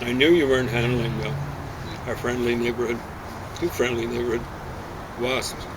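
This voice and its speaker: Male poem reader and casual chatter, mid-range voice, recorded outside over clearly audible traffic. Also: medium pitch, level tone, normal speed. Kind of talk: casual chat and poem reading. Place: outdoors on a deck. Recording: outdoor recording with clear vehicle noise.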